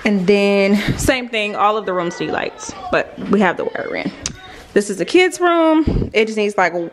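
A woman's voice: mid-range voice, animated, normal speed. A young adult woman chatting informally to viewers in a casual vlog, recorded indoors on a nearby camera. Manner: informal, addresses viewers directly. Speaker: vlogger. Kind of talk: casual vlog chat, narrating a house tour. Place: indoors, rooms of a house under renovation. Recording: clear background noise, clicks.

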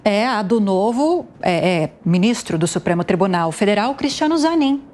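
A woman's voice: mid-range voice, expressive, darkish tone, slight background noise. Young woman anchoring a newscast. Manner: measured, clear anchor delivery. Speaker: news anchor. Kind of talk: studio news presenting. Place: TV news studio.

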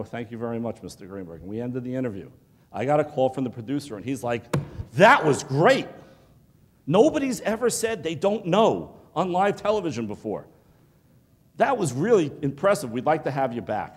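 A middle-aged man giving a keynote speech to students, talking in an animated, pleading, conversational way, often checking in with "okay?". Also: mid-range voice. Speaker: keynote speaker. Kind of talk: motivational lecture to students. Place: large hotel ballroom with audience. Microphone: lapel mic. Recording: long hall reverb.

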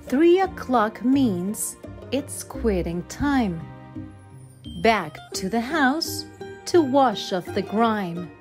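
Very slow woman storybook narrator over music. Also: fairly high pitch. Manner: measured, deliberate phrasing with pauses. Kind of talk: reading a rhyming children's picture book aloud. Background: instrumental backing music.

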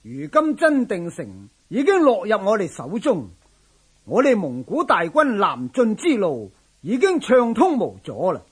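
An earnest general in a radio drama, with a Hong Kong Cantonese accent. His voice is high and swoops widely as he declaims with formal deference. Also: huge pitch swings, a little slow, breathy edge, exaggerated voice. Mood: earnest, urging. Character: earnest, dutiful general reporting to his lord.

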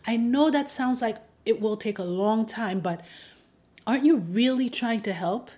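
A woman from Haiti delivering scripted persuasive commentary to an audience, in a pointed, wry and deliberate rhetorical way. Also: on the high side, a little mellow. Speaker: host of an advocacy video.

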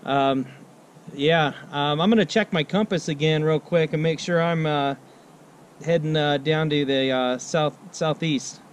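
A middle-aged American man chatting casually as a ham radio operator outdoors, halting and laid-back, repeating his phrases. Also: on the high side, background noise. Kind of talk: casual radio contact chat. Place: outdoors at a picnic table on a mountain.

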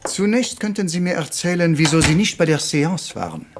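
A little Belgian detective with a fairly high voice. He is formal and courteous, dropping French interjections as he patiently probes with questions. The style is radio crime drama. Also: sing-song, normal speed, background noise. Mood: polite, inquiring.

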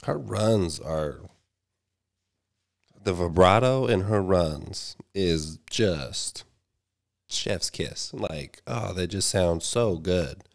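A man's voice: sits low, lively intonation, gravelly. A man in his thirties with a stuffy, nasal voice, reacting with awe to a song in his home studio. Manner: awed exclamations between pauses. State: sick, nasal. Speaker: reaction video host. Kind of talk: music reaction commentary. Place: small home studio room, indoors. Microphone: studio mic on boom arm, headphones. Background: song playing.

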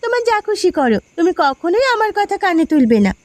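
A woman's voice: extremely high pitch, big pitch swings, quick pace, dry recording. A woman voicing a proud, protective village housewife in a cartoon, nagging and emphatic as she speaks. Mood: indignant. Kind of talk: cartoon dialogue.

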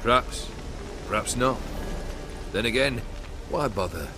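A man's voice: medium pitch, animated, measured pace, lightly acted. Haughty, sardonic nobleman bandit played by a man, music behind. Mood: curt, dismissive. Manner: theatrical, sardonic, formal archaic phrasing. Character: haughty, cynical nobleman bandit leader with a cruel streak. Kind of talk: fantasy video game cutscene acting.

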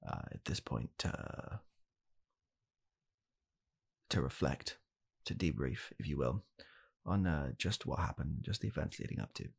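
A young man playing a blunt, callous father in an ASMR comedy roleplay, speaking softly and haltingly in a deadpan way. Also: rumbling low, vocal fry, husky, full character voice. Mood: deadpan blaming. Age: young adult. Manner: soft-spoken, halting, deadpan. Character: blunt, callous father calmly blaming his child. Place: small home room, indoors.

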